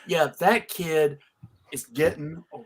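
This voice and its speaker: A man's voice: on the high side, slow pace. A man from Alabama hosting a casual fan panel, chatting in an exclamatory, joking way. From Alabama, United States. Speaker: host of a fan convention panel. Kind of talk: casual animated panel chat.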